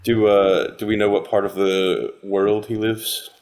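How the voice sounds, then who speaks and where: A man's voice: on the low side, flat delivery, a little slow, darkish tone. A man in casual joking chat on a podcast, tossing out dry asides. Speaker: podcast panelist.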